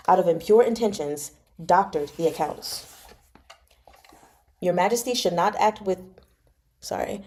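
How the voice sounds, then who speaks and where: A woman's voice: fairly low pitch, warm tone. A woman hosting a read-along, reading a novel aloud and chatting casually about it as she goes. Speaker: host of a live book read-along.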